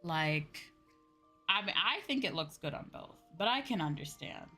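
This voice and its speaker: A woman's voice: on the low side, lively intonation, a little slow, pushed and bright. A woman streaming a game, chatting informally and thinking aloud as she plays, often dropping in "you know" and "like". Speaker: streamer playing an online game. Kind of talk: casual livestream chat, thinking aloud while playing. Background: music.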